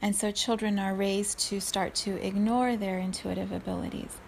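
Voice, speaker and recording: Woman, 40s, from South Africa; calm reflective solo talk, even and flat in pitch, gravelly texture. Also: a little hiss or hum. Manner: unhurried, thoughtful, laughs softly at herself.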